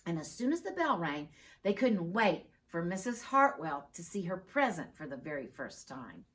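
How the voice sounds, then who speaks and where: A woman teacher reading a picture book aloud with expressive storytelling. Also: fairly low pitch, moderate pace.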